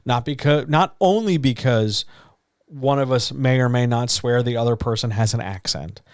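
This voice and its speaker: A man with a deeper voice coaching writers during a live stream. He talks loosely and casually, leaning on so, like and um as he explains. His voice sits at an ordinary pitch and sounds dry and close to the mic. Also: moderate pace, smooth, no echo. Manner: loose, conversational, full of fillers like so, like and um. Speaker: writing coach.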